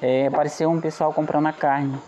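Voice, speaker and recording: A man's voice: fairly high pitch, little pitch movement, brisk, noisy background. A man from a riverside community telling an old story in a plain, rambling way. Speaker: community resident. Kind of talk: oral storytelling.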